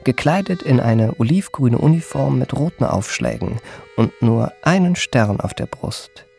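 A suave young gentleman impostor in a literary radio play. His voice sits at a medium pitch and his phrasing is ornate, courtly and effusive. Music plays faintly behind him. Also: lively intonation, unhurried, vocal fry. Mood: smooth, self-satisfied. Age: young adult. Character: suave young impostor posing as a flattering marquis. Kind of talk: first-person literary radio drama narration with acted dialogue.

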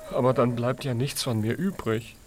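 A man's voice: mid-range voice, steady tone, moderate pace, slight background noise, close to an everyday voice. A man playing a gloomy, anxious little piglet in a children's radio play, speaking in a hesitant, earnest, childlike way. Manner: hesitant, downcast questioning.

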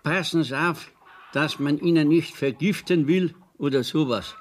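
An actor playing a simple, earnest Bavarian countryman in a radio play, speaking haltingly as he composes a letter, with a Bavarian accent. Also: fairly high pitch, slight background noise, lightly acted. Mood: devoted, concerned. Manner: slow, halting dictation to himself as he writes. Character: simple, devoted rural Bavarian man laboriously writing a letter. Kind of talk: radio play acting in dialect.

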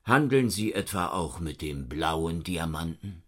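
An obsessed Paris police inspector in a radio crime play. The voice sits low and moves widely in pitch as he presses his questions with pointed suspicion. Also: animated, unhurried. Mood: confident, suspicious. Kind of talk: radio drama dialogue.

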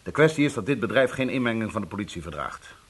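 An actor playing a gruff but apologetic male boss in a radio drama, with a husky voice. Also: fairly low pitch, lively intonation, fast, fairly bright tone. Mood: firm, irritated.

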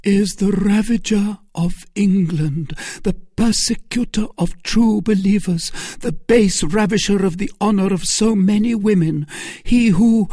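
A man's voice: high-pitched, unhurried, mellow, no echo, clean studio sound, voice pushed up. A man voicing a cunning, seductive noblewoman. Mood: bitter accusation. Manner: impassioned, pleading.

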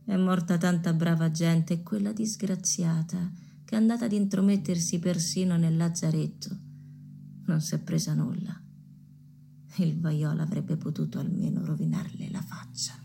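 A woman's voice: on the low side, steady tone, moderate pace, creaky, warm tone, slight background noise. A woman playing a spiteful, envious townswoman in a radio drama, muttering with venom.

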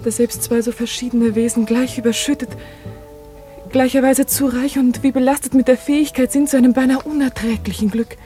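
A woman's voice: a little high, warm tone, close to an everyday voice. An actress playing a yearning young woman in love, earnest and lyrical in a poetic radio play.

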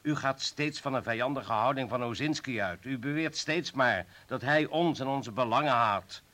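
A man's voice: medium pitch, moderate pace, pushed and bright, natural voice. An actor playing a principled, indignant comrade in a radio play, firm and reproachful as he reasons. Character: principled male comrade, indignant, defending an accused doctor.

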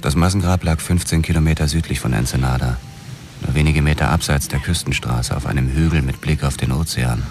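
An actor playing a grim police detective who narrates his own story in measured radio drama style. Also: a bass voice, darkish tone, faint music behind. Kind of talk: scripted first-person narration in radio drama.

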